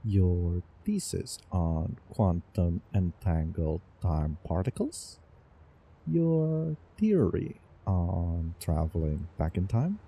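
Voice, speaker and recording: A man playing a doting, obsessive young student from the future in a halting, intimate audio drama monologue with nervous chuckles. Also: sits low, very animated, a little hiss or hum, exaggerated voice. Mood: admiring, delighted. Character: doting, obsessive male time-traveling student in love. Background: soft background ambience.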